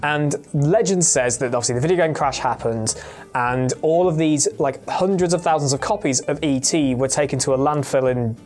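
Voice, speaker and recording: A man's voice: fairly high pitch, moderate pace, a little mellow, soft background music. A man telling a story casually as a panellist, explaining in earnest detail and often correcting himself. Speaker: panellist on a gaming show.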